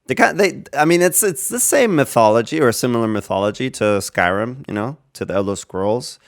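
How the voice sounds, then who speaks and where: A man's voice: medium pitch, lively intonation, a little fast. A man giving casual reaction commentary as a gaming video creator, rambling as he thinks aloud.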